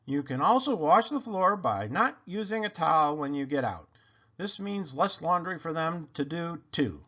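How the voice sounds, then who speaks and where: Animated man reading a children's book aloud. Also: a little high, normal speed. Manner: animated, playful emphasis. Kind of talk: expressive read-aloud of a children's picture book. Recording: dull, lo-fi sound.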